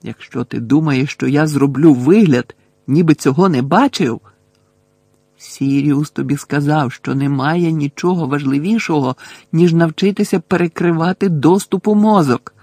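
A man's voice: fairly high pitch, normal speed, darkish tone, husky, dry recording. A man voicing an earnest, anxious teenage girl witch in an audiobook, pleading and stubbornly reasoning. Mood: hissing, insistent.

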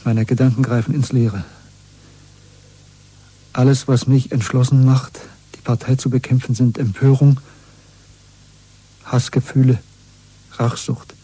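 An actor playing an earnest, troubled rebel searching for belief in a German radio play, with halting, intense delivery. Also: male voice, mid-range voice, flat delivery, dark tone, breathy edge, rough recording. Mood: yearning, troubled.

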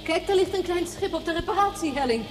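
An actress playing a cautious spaceship crew member in a science fiction radio play, speaking in short questions and replies. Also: female voice, very high-pitched, lively intonation, fast talker, soft background music. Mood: surprised.

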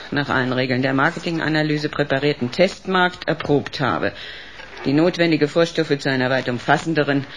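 An actress playing a cold, authoritative professor of psychiatry in a radio drama, measured and clinical. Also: female voice, deep voice, slight background noise, close to an everyday voice. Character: cold, authoritative female professor running a locked psychiatric ward.

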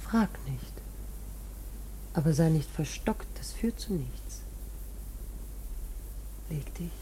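Radio play casting: coaxing, bossy young temptress, unhurried, very animated intonation, whispery, slightly hissy recording. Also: female voice, low-pitched, poor audio. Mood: coaxing, intimate. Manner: slow coaxing whispers, sharp commands. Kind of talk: German radio play acting.

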